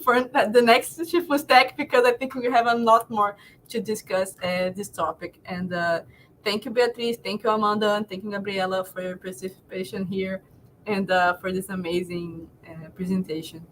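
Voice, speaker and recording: A woman's voice: medium pitch, normal speed, a little hiss or hum. Woman hosting an online event. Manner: hesitant, with many fillers. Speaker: event host.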